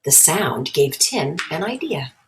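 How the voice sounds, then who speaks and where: A woman reads a children's storybook aloud. Her voice is low in pitch, and she narrates the tale as a read-along. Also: big pitch swings, takes it slowly, background noise.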